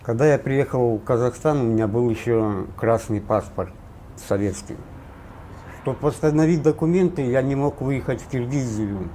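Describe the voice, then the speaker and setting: A man's voice: mid-range voice, moderate pace, warm tone, slight background noise. A man from Kyrgyzstan speaking plainly and matter-of-factly in a documentary interview.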